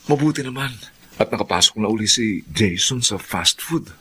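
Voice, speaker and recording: Low, bright soft-hearted young suitor. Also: male voice, expressive, normal speed, soft background music. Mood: curious, concerned. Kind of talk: Filipino radio drama acting.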